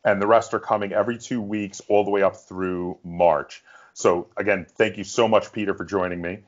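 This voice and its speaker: A man with a low-pitched voice hosts an interview. His delivery is conversational, courteous and measured. The audio is a little dull and lo-fi. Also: fairly even intonation. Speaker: host of a gaming interview show.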